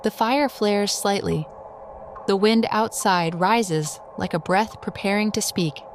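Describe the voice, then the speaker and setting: A woman narrator with a medium-pitched voice delivers dramatic scripted narration slowly and solemnly. A faint ambient noise runs behind her. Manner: measured, solemn.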